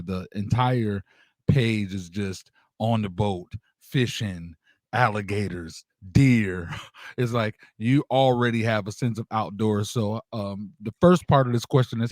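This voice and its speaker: Male interview host, slightly low-pitched, informal and encouraging, slangy chat, very dry sound. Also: a little mellow, dry recording. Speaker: radio show host interviewing a guest.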